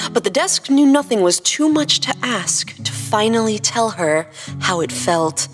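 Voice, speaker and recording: A woman's voice: medium pitch, gravelly. A woman host reading humorous fan fiction as scripted narration. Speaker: podcast host and story narrator. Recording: long reverb, music behind the voice.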